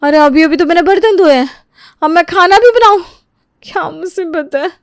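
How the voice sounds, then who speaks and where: A woman's voice: very high voice, normal speed, no echo, clean studio sound, voice pushed up. A woman playing an overworked young daughter-in-law in an audio story, weary, whining and plaintive. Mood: dismayed.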